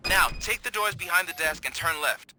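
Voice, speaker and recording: An actor playing an eager, nervous police tech who guides an officer in a video game, earnest and chatty. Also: male voice, on the high side, moderate pace, noisy background, natural voice. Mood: helpful, brisk. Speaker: police dispatcher.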